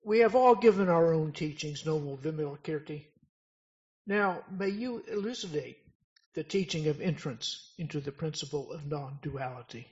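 A man's voice: on the high side, moderate pace, a little mellow, close to an everyday voice. A man playing a wise, commanding bodhisattva in an amateur dramatic scripture reading, solemn and declamatory. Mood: formal, respectful. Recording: dull lo-fi recording.

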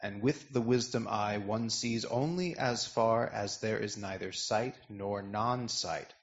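A man playing a calm bodhisattva in an amateur dramatic scripture reading, measured and earnest. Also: on the low side, lightly acted. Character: calm male bodhisattva expounding wisdom. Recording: dull lo-fi recording.

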